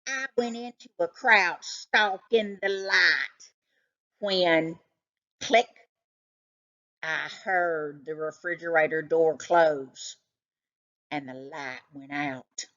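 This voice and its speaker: A woman voicing an excitable, suspicious male cat from a children's storybook, telling his tale with dramatic suspense. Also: low-pitched, slow, pauses often, dull audio, voice pushed down, exaggerated voice. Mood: suspenseful.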